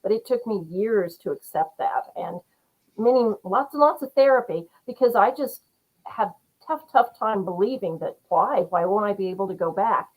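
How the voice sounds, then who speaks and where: A woman's voice: mid-range voice, lively intonation, normal speed, low-quality recording. A woman guest author from Rhode Island speaking earnestly and reflectively in a casual interview, often murmuring agreement. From Rhode Island, USA. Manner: earnest, reflective, frequent mm-hmms.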